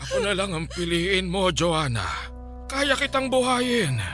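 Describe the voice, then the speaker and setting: An actor playing a menacing, lecherous middle-aged landlord obsessed with a younger tenant, in an overwrought radio drama style. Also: male voice, high voice, sing-song, measured pace, pushed and bright, soft background music, full character voice. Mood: obsessed pleading. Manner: leering, threatening, overwrought.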